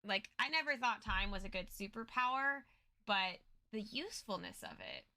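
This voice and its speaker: A woman's voice: mid-range voice, lively intonation, moderate pace, pushed and bright. A woman co-host giving casual, chatty commentary on a fantasy story recap.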